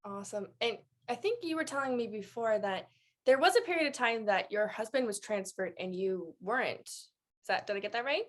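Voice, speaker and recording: A woman's voice: on the high side, animated, moderate pace. A woman interviewing a guest as host, conversational, attentive and encouraging.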